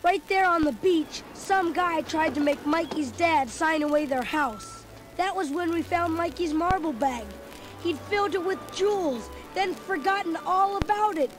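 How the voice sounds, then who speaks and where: A woman narrating a children's read-along story record in a clear storytelling manner, with music behind. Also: very high-pitched, measured pace.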